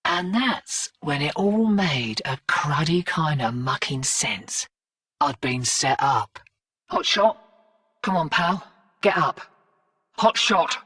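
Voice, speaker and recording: A woman's voice: medium pitch, sharp tone, husky, dry recording, studio quality, far from the mic, heavily acted. A woman voicing a tough, sardonic, weary streetwise mercenary in a video game, with clipped, slangy delivery. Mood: tense, suspicious, then urgent, rueful. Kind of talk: scripted video game character dialogue.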